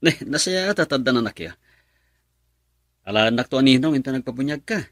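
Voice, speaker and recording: A man's voice: mid-range voice, lively intonation, brisk, dry recording, clean studio sound, lightly acted. An actor playing a lovesick young man, a devoted son, in a melodramatic radio drama. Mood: surprised, friendly.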